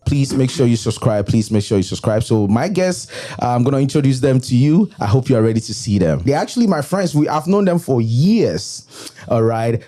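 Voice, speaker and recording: This male host talks with a lively, wide-ranging pitch and a mellow, warm tone. He repeats phrases to drive a point home. He keeps the casual feel of a studio chat. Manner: animated, repeats phrases for emphasis. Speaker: podcast host.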